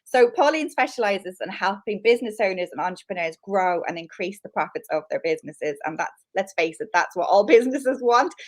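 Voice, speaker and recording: Chatty Irish woman hosting a live interview. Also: medium pitch. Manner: chatty and enthusiastic. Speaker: interview host. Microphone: video call.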